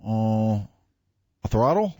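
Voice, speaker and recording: A man's voice: fairly low pitch, very animated, slow pace, darkish tone, no room sound, clean studio sound. A man co-hosting a radio show in casual chat, answering questions tentatively and thinking aloud.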